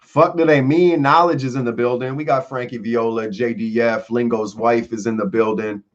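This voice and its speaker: A man hosting a casual live show, joking and slangy, shouting out the chat. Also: medium pitch, fairly even intonation, moderate pace, dull audio. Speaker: host.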